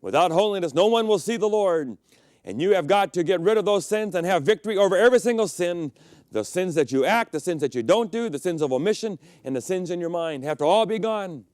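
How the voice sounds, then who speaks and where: A middle-aged man preaching a sermon as pastor, telling personal stories and swinging between loud emphatic peaks and quiet, low passages. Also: lively intonation, fairly quick, no echo, clean studio sound. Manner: storytelling preacher, personal anecdotes.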